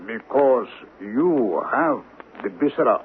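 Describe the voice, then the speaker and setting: Slow, ceremonious envoy on old radio. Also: male voice, medium pitch, lively intonation, narrow, tinny audio. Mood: solemn, courteous. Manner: formal, ceremonious, deliberate. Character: scheming man posing as a humble Indian temple envoy. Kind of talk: old-time radio drama acting. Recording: thin, noisy vintage radio recording.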